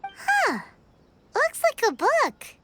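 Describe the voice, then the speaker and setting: A woman voicing a tiny, excitable, childlike fairy companion in an anime game, chatty and curious, with a squeaky voice. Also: drawn-out words, noisy background, exaggerated voice. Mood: excited, curious. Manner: refers to self in third person, exclamatory.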